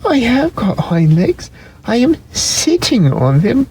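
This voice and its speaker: Small high-pitched plaintive toy rabbit. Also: male voice, huge pitch swings, slow, a little mellow, a little hiss or hum, heavily acted. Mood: defiant. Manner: plaintive, insistent. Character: small wistful, defensive velveteen toy rabbit, male. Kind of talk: dramatic storybook reading.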